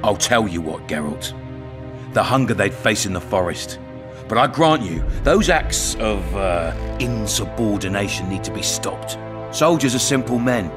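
An actor playing a cunning, blunt and sardonic town commandant in a dark fantasy video game. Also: male voice, lowish voice, animated, unhurried. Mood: blunt, persuasive. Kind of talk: dramatic video game acting. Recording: music behind the voice.

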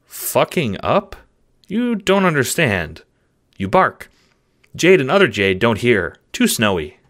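Man playing an excitable, simple-minded dog, swooping pitch. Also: takes it slowly, heavily acted. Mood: earnest. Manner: broken baby-talk sentences, thinking aloud with barks.

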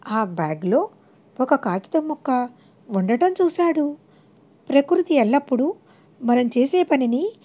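High, lively woman storyteller, dull recording. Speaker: audiobook storyteller. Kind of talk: scripted moral story narration.

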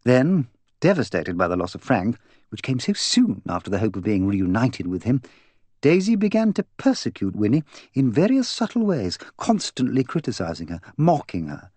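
A man's voice: medium pitch, wildly swooping pitch, normal speed, a little mellow, no room sound. A man voicing an imperious, gossipy, opinionated English woman in an audiobook, bossy, emphatic and voluble. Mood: gossipy, confiding. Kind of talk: audiobook character dialogue.

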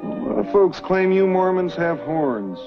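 A man's voice: high voice, big pitch swings, takes it slowly, full character voice. A glib young city man. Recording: dull old TV soundtrack with music.

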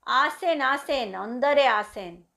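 A woman voicing a humble, stooped old male caretaker in a story, with deferential, drawn-out rustic speech. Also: high voice, expressive, vocal fry, sharp tone, exaggerated voice. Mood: humble, obliging. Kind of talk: dramatised story reading.

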